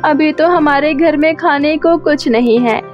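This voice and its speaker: A woman puts on a sorrowful young daughter from a cartoon tale. The voice is high, plaintive and childlike, with music playing behind it. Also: measured pace, muffled recording. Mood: sad, pleading. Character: sad young daughter grieving her father. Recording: music under the voice.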